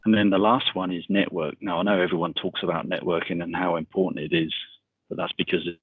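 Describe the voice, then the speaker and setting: A middle-aged man giving a casual webinar talk, speaking in a monotonous, rambling way with many fillers over a video call. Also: low-pitched, quick pace, husky, no room sound. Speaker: webinar speaker on military transition. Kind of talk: casual presentation. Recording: tinny video call audio.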